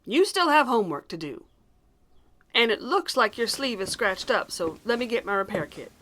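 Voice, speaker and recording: A stern, loving, protective mother in an audio drama. She has a slightly high voice and moves very expressively in pitch as she fusses firmly. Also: big pitch swings, normal speed, a bit bright, slight background noise. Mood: caring, firm. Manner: firm, motherly fussing.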